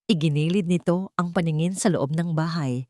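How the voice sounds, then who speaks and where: A woman's voice: sits low, normal speed, dark tone, dry recording, studio quality. A woman storyteller reading a dramatic scripted narration.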